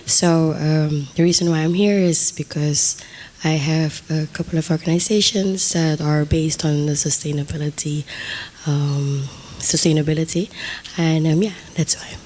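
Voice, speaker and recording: A woman's voice: low voice, fairly even intonation, measured pace, a little mellow, slight background noise. A woman from Suriname, a workshop participant, introducing herself briefly and a little hesitantly.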